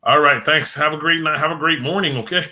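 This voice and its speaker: Bright-voiced host losing his voice. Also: on the high side, lively intonation, normal speed. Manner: rambling, repeats words, thanks viewers by name. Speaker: livestream host. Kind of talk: casual livestream chat.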